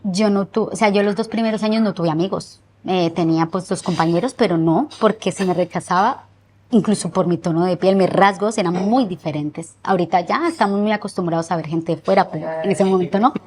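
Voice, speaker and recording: A young woman from Colombia with a Colombian accent softened by years in Spain, recalling her life earnestly in an interview and slowing down to stress words. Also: lowish voice, slight background noise. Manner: reflective, earnest, often slows down to stress words. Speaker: guest. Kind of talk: personal interview.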